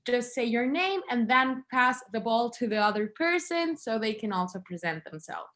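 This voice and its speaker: A woman's voice: a little high, expressive, moderate pace, fairly bright tone. A woman moderating an online student competition over a video call, giving patient, encouraging instructions.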